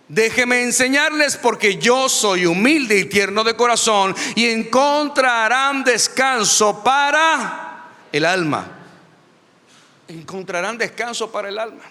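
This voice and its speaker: High, bright-voiced preaching man. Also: long reverb tail. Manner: emphatic, repetitive preaching with humor. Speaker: pastor. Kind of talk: lively sermon. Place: church.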